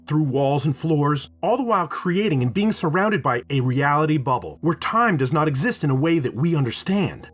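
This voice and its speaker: Husky, lively man narrating a video essay. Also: a little high. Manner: dramatic, playful, emphatic phrasing with drawn-out words. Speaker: video game reviewer.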